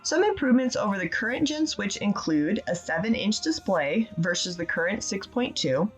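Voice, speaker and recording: A woman's voice: mid-range voice, big pitch swings, normal speed, breathy edge, faint music behind. A woman presenting scripted video game news as a regular segment contributor on a casual show.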